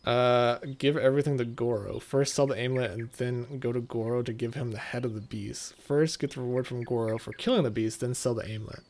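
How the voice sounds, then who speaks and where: A man's voice: medium pitch, fairly even intonation, a little fast, hardly any pauses, darkish tone. A man giving casual, offhand and mildly sardonic commentary as host of a video game playthrough.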